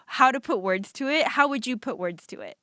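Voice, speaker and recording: A woman's voice: a little high, lively intonation, a little fast, no echo. Encouraging woman podcast host, dry sound. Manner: warm, encouraging interjections. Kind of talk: casual interview.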